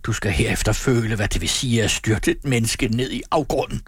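A man performs a rejected suitor from a classic novel, wounded and bitter. The delivery is declamatory and melodramatic. The voice is somewhat husky and closely recorded, with little room sound. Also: lowish voice, no echo, studio quality. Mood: furious. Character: bitter rejected suitor, pleading then raging and threatening.

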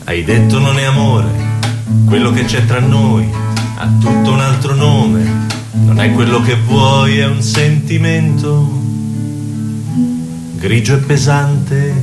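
A male singer-songwriter singing a comic love ballad over acoustic guitar. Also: mid-range voice. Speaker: singer-songwriter, radio guest. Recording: roomy, loud guitar accompaniment.

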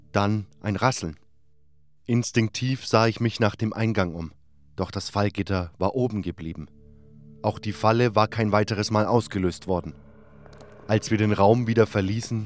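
Male narrator, fantasy audio drama. Lower register, scripted first-person storytelling, music underneath. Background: background music.

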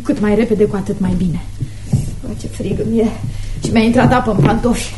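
An actress playing a sullen, defiant teenage daughter in a radio play, petulant and full of complaint. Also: female voice, mid-range voice, fairly even intonation, natural voice. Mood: disgusted. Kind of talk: Romanian radio theatre acting. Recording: echoey, noisy radio drama recording.

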